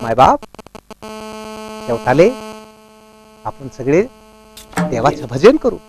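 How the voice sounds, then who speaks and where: An actor playing a humble, earnest folk preacher-saint in a stage play, addressing villagers with devotional exclamations. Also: male voice, medium pitch, very animated, slow, noisy background. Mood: humble, pleading. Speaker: actor in a one-act play.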